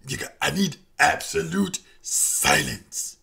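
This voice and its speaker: A man voicing an eager young cartoon show-off, excitable and pleading. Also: a little high, takes it slowly, vocal fry, breathy edge, pitched above the performer's own voice, heavily acted. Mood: excited.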